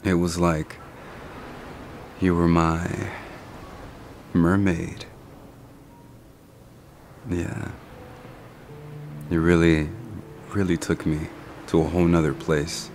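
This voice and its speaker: A young man in an ASMR role-play as a doting boyfriend, speaking softly and intimately with long, tender pauses. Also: low voice, flat delivery, slight background noise. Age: young adult.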